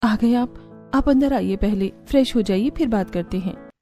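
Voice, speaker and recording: A woman plays a gentle, self-sacrificing young village mother in a cartoon story. Her voice is fairly high yet very mellow, tender and earnest, over background music. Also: normal speed, close to an everyday voice.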